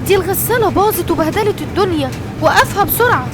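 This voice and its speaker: A shrill, bossy Egyptian lioness mom. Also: female voice, fast, over music. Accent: Egyptian Arabic. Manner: bossy, excitable and demanding. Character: fussy mother lioness, the king's wife.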